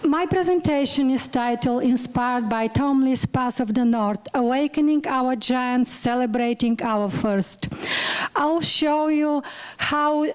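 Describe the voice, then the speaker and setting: A woman's voice: high voice, takes it slowly, smooth, low-quality recording. A woman from Bulgaria with a Bulgarian accent, a museum director giving an earnest symposium talk and sometimes searching for words. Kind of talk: symposium presentation.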